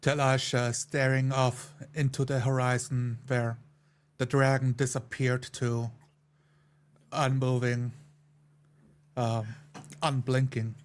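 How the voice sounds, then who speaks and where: Slow, even-toned male roleplayer. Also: medium pitch. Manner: measured, pausing between phrases. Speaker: tabletop game player. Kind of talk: third-person roleplay narration.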